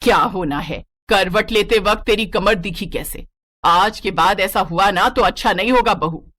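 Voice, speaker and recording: A woman's voice: mid-range voice, normal speed, fairly bright tone, dry recording, close to an everyday voice. A woman playing a nagging, old-fashioned older mother-in-law in a moral story cartoon, indignant and exclaiming. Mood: angry.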